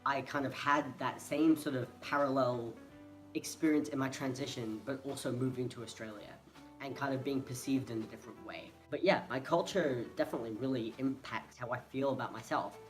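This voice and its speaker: A man's voice: mid-range voice, steady tone, fairly quick. A young man from Hong Kong, talking casually to camera in a hesitant, rambling way and often restarting. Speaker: vlogger. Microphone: phone. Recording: phone recording with faint music behind.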